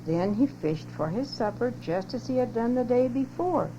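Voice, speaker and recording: A woman's voice: on the high side, expressive, moderate pace. An older woman expressively reading a children's story aloud as a narrator. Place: home tape recording. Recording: lo-fi muffled home cassette, loud hum.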